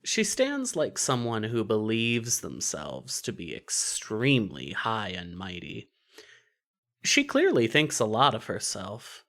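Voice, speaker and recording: A narrator reading scripted fiction in an expressive, wry storytelling manner. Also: medium pitch, slow pace.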